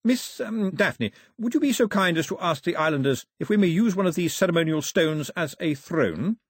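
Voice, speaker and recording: A man's voice: high voice, lively intonation, moderate pace, husky, no echo, clean studio sound, pitched above the performer's own voice. A man voicing a formal, self-assured, urbane male servant of the crown in an audiobook. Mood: courteous. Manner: courtly, urbane. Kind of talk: audiobook character voice.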